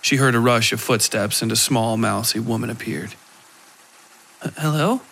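A man giving a scripted narration of a dark horror tale for a fiction podcast. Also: on the low side, fairly even intonation, fairly quick, slight background noise. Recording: clear music behind the voice.

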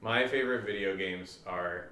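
A man's voice: deep voice, normal speed, bright, forceful tone, distant mic, slight background noise. A man co-hosting a casual chat with a playful, teasing manner. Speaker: podcast co-host. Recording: roomy, echoey live-stream sound.

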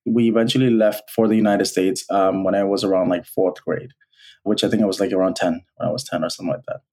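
A man in his thirties from Bangladesh, a guest telling his life story in an earnest, halting way with many restarts. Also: low voice, steady tone, darkish tone. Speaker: guest, college alumnus. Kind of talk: personal storytelling interview.

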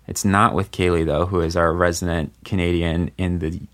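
A man's voice: low voice, flat delivery, normal speed, fairly bright tone. A man hosting a podcast, chatting loosely with many fillers. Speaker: podcast host. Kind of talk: casual chat.

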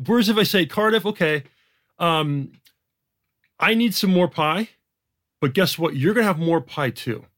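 A man's voice: a little high, lively intonation, moderate pace, pauses often, fairly bright tone. A man from Jamaica with a general American accent, explaining economics as a podcast guest in an emphatic way, often repeating words and adding rhetorical asides.

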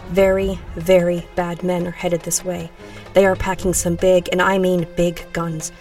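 A woman voicing a big, burly man, a calm and reassuring leader who speaks earnestly in an audiobook. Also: fairly low pitch, a little slow, distant mic, faint music behind.

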